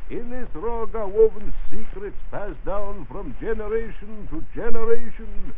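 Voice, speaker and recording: An obsequious, theatrical rug seller in a 1940s radio comedy. He speaks in a high, slow, flowery flow of flattery, through narrow vintage radio sound. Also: a little hiss or hum. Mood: grandiose.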